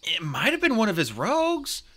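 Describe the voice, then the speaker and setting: An earnest young tomcat warrior from an animal storybook, played by a man. He speaks in a bright, higher-pitched voice that swings widely. His delivery is urgent and commanding. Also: wildly swooping pitch, moderate pace, a little hiss or hum, exaggerated voice. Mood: grim, uncertain.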